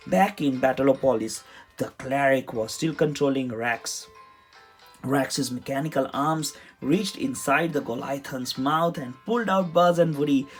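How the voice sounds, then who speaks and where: A children's storybook reader giving a scripted read-aloud narration. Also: mid-range voice. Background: music under the voice.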